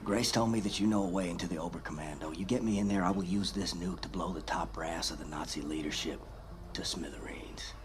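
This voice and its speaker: An actor playing a gruff, tough resistance soldier with a Southern American accent in a video game, terse and folksy. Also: male voice, low voice, a little fast, background noise, close to an everyday voice. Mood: grim resolve. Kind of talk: video game cutscene acting.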